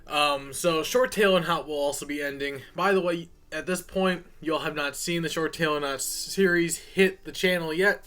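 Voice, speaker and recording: A man's voice: on the high side, fairly bright tone. A man giving a casual, unscripted update as a channel host, talking informally in a folksy way and addressing viewers as y'all.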